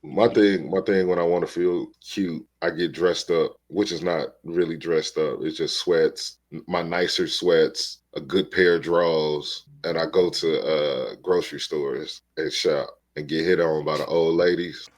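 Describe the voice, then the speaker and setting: A man's voice: rumbling low, fairly even intonation, measured pace, few pauses. A middle-aged man hosting a podcast, chatting casually in a slangy, laid-back way and telling stories with loose analogies. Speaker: podcast host. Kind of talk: casual chat.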